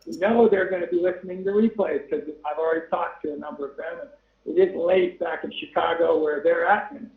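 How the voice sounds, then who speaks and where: Gravelly high-voiced man on a call. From Northern Colorado. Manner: chatty, rambling, lots of fillers. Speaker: webinar host. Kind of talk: casual webinar introduction. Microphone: video call. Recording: tinny phone-like line, roomy echo.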